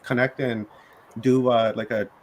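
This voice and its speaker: A man's voice: medium pitch, little pitch movement, a little slow, slight background noise. A man hosting an online conference who praises the speakers in a gushing, effusive way, with many fillers. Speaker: conference host. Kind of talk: casual online chat.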